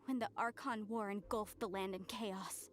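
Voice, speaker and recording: A woman's voice: medium pitch, fairly even intonation, moderate pace, a little hiss or hum, natural voice. An actress voicing an earnest young woman in a game, speaking formally and with passion. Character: earnest young woman, salt-trade descendant. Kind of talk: game voice acting. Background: game music.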